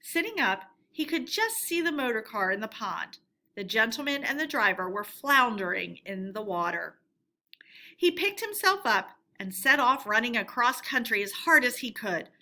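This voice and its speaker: A woman storyteller reading a storybook aloud in an expressive narrating style. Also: on the high side, moderate pace.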